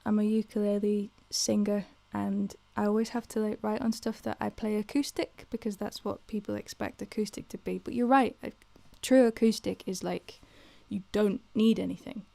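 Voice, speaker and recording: A woman hosting her own livestream, chatting with viewers in a soft, hesitant way with lots of fillers. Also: mid-range voice, fairly even intonation, moderate pace, gravelly, hardly any pauses, no echo. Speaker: livestream host and singer. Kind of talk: casual chat with a live audience. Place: home livestream in the hills.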